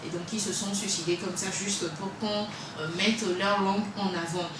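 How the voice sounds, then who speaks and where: A woman hosts a morning radio chat in a loose, halting way, with plenty of fillers. Her voice sits in the middle of the range for a woman. It is caught from a distance in a very echoey room, with steady noise behind her. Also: mid-range voice. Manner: chatty, hesitant with frequent fillers. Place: web radio studio. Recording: echoey room from far off, noisy.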